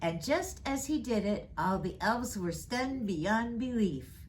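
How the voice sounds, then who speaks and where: Slow, expressive woman reading a storybook. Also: a little high, a little hiss or hum. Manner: slow, expressive, rhyming read-aloud delivery. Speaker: storyteller reading as Mrs. Claus. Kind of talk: read-aloud children's storytime.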